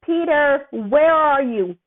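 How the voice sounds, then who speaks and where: A woman's voice: high voice, expressive, slow, pitched above the performer's own voice. A woman voicing a frazzled, defensive man looking after a superpowered teenager, in an amateur story reading. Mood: anxious calling. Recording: thin, phone-like narrow-band sound.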